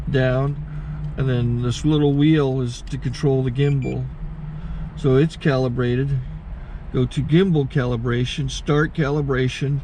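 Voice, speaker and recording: A man hosting his channel, casually walking through a gear setup with halting self-corrections. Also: mid-range voice, mellow, poor audio. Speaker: channel host, recording taper. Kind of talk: casual how-to demonstration. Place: inside a truck cab. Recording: faint car and outdoor noise.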